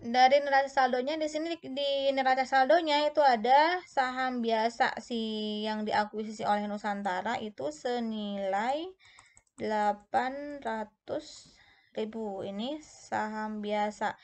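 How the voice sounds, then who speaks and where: A woman's voice: fairly high pitch, unhurried. A woman giving an explanatory tutorial as an instructor, going step by step and posing questions that she then answers herself. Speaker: accounting instructor.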